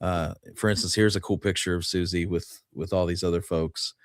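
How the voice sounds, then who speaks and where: A man's voice: low voice, fairly even intonation, moderate pace, crowd noise. A man hosting a show in a casual livestream chat style. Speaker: show host.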